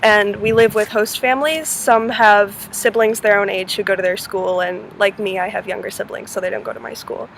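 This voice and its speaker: A woman's voice: medium pitch, fairly even intonation, moderate pace, vocal fry, few pauses, a little hiss or hum, low-quality recording. A sixteen-year-old girl from North Carolina chatting casually as an exchange student vlogger, easygoing and giggly with frequent fillers. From North Carolina, USA. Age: teens. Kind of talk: casual chat.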